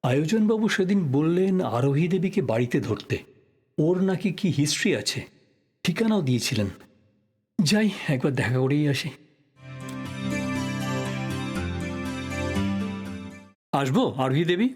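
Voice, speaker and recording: A man's voice: on the high side, lively intonation, moderate pace, darkish tone, husky, faint music behind. A man playing a polite but persistent investigative journalist in an audio drama, asking courteous questions conversationally. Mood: musing. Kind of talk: Bengali audio drama acting.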